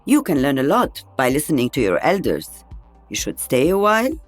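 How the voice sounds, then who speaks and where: A woman's voice: deep voice, wildly swooping pitch, normal speed, faint music behind, exaggerated voice. A woman playing an elderly veteran sniper in a video game, with a dry, wry and weary delivery. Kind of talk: video game character voice lines.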